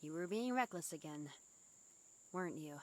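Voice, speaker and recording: A woman voicing a teasing but caring high-school girl in an amateur visual novel fandub, with an expressive, probing manner. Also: moderate pace, no room sound, close to an everyday voice. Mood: concerned.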